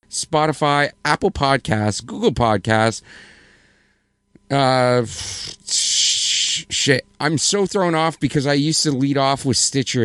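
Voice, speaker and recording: A man with a hoarse voice co-hosting a casual podcast, rambling and correcting himself as he goes. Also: medium pitch, dry recording. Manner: rambling, self-correcting, apologetic. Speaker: podcast co-host.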